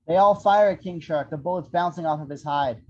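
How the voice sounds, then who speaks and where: Higher-pitched man narrating, dull lo-fi sound. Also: a little fast. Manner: irreverent, wry asides. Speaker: narrator reading screenplay action descriptions. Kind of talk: animated table-read narration of an action script. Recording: dull, lo-fi audio.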